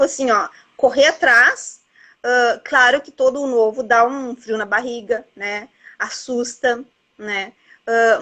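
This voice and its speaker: Woman, 50s, higher register; casual book-chat host who rambles with tag questions. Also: fairly bright tone. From southern Brazil border region. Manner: rambling, lots of "né" and "assim, ó". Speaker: bookseller interviewing an author.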